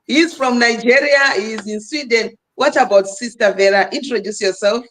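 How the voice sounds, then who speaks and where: A woman's voice: fairly high pitch, moderate pace, rough recording. A woman from Zimbabwe hosting a live stream panel, speaking emphatically and urging the listeners on. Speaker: livestream host. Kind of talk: casual live stream talk.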